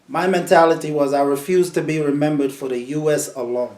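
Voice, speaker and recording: Man, mellow voice, laid-back storytelling, roomy echo. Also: fairly high pitch, brisk. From New York. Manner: relaxed, storytelling, repeats words. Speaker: sound system selector. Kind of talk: casual interview answers.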